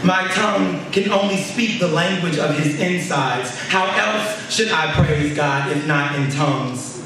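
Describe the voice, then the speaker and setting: High-voiced man performing poetry in an echoey room. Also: measured pace. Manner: impassioned, declamatory, rhetorical questioning. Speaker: spoken word poet. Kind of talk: spoken word poetry performance. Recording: very echoey room, distant mic, clear noise.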